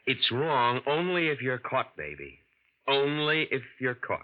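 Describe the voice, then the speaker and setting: A man's voice: medium pitch, sing-song, unhurried, very noisy. An actor playing a greedy, defiant young impostor with an American accent, talking in slangy, cynical tough-guy style in a 1940s radio drama. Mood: defiant, self-justifying. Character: hard-boiled, greedy young male impostor, defiant and self-justifying. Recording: dull old radio recording with music.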